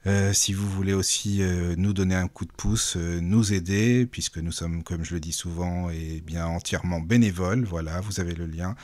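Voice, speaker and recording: A man hosts a small radio show, chatting with listeners and stumbling over fillers. His voice sits low. The recording is dry and close. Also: steady tone, normal speed, hardly any pauses, a little mellow, no room sound. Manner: friendly, lots of fillers, promotional. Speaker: radio host.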